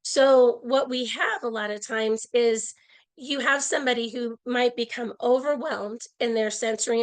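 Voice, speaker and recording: A woman presenting an online workshop over a video call, slightly hoarse from a cold, explaining earnestly with frequent restarts. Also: on the high side, low-quality recording. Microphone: earbud mic on a video call.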